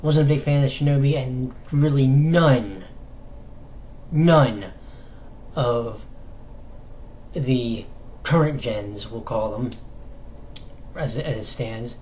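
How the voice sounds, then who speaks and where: An American man hosts a casual solo news vlog for fans. His delivery is unhurried and halting, conversational and given to rhetorical questions. His pitch sits in a middle range, and a light noise runs behind the voice. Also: mellow. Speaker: YouTube host giving fandom news. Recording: noticeable background noise.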